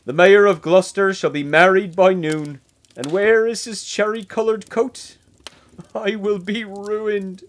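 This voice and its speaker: A man's voice: high voice, steady tone, a little slow. An actor playing a poor, worn-out old tailor who wails his troubles in a fretful voice. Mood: despairing. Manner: fretful, wailing laments.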